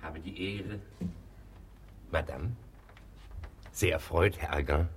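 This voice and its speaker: An actor playing a smooth, sly notary, formal and insinuating, in a German radio comedy. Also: male voice, a bass voice, slow, heavily acted. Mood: obsequious. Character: smooth, sly, obliging notary bending the law. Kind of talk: German radio comedy acting of a classic play.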